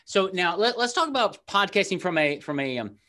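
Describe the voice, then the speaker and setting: Eager middle-aged male host, slightly high. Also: big pitch swings, bright, forceful tone. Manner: enthusiastic, quick affirmations, storytelling. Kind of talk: conversational interview over video call.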